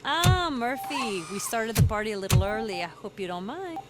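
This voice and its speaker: Casting: cheerful teasing friend for a game, high-pitched and lively. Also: female voice, moderate pace, loud music behind the voice. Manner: bubbly, teasing. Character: cheerful, playful female party-starting friend. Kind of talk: video game cutscene acting.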